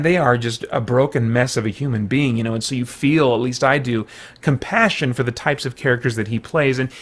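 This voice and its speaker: A man's voice: fairly high pitch, fairly bright tone. An American man giving a casual, unscripted review as a host, conversational and thoughtful, often breaking off to rephrase. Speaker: film reviewer and show host.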